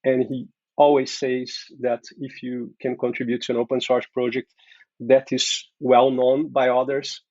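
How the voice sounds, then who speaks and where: A man's voice: mid-range voice, flat delivery, unhurried, a little mellow, no echo. A man from Brazil with a Brazilian Portuguese accent, hosting an interview in a friendly, encouraging manner. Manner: friendly, encouraging, many back-channel murmurs. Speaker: host. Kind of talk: casual interview chat. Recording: dull dry lo-fi mic.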